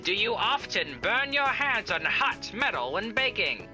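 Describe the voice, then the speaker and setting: A woman's voice: medium pitch, very animated, a little slow, sharp tone, faint music behind, heavily acted, rough recording. A woman playing an upbeat, slick saleswoman in an old-time radio advertisement, theatrical and salesy. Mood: upbeat, persuasive.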